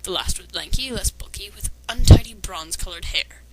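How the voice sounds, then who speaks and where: A woman's voice: mid-range voice, a little fast, heavy background noise. A woman reading fan fiction aloud with sarcastic, critical commentary. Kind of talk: dramatic reading with mocking commentary.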